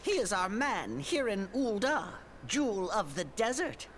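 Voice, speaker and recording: A woman voicing a small, brisk male mage who makes chirpy, matter-of-fact introductions. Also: medium pitch, sing-song, a little hiss or hum.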